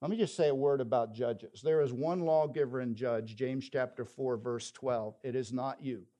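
A man's voice: medium pitch, moderate pace, warm tone. A man preaching a sermon, telling a story and quoting scripture with emphasis. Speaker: pastor.

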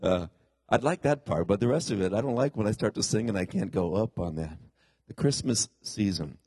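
A man's voice: fairly low pitch, lively intonation, brisk, darkish tone, husky, dry recording, clean studio sound. A middle-aged man, a pastor, preaching a conversational sermon in a congested voice that he puts down to a pulled tooth, often putting questions to the congregation. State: congested from a cold-like ailment after a tooth extraction.

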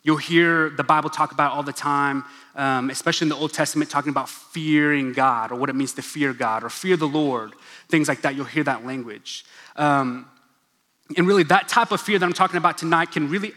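A man's voice: a little high, normal speed, bright, forceful tone. A young man giving a casual, joking sermon as a pastor, with asides to the crowd. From Oklahoma, USA. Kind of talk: casual sermon in a church gathering. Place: large church hall. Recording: long reverb of a large hall.

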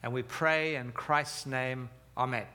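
Older South African-born preacher, bright voice. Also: male voice, mid-range voice, steady tone. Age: 70s. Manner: conversational, with fillers, slips and pauses. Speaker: pastor. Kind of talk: sermon.

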